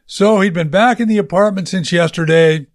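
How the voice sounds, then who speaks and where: A male audiobook narrator with a somewhat high-pitched voice tells a story in a conversational way. His delivery is casual and colloquial, as if recounting it to someone he knows. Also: normal speed.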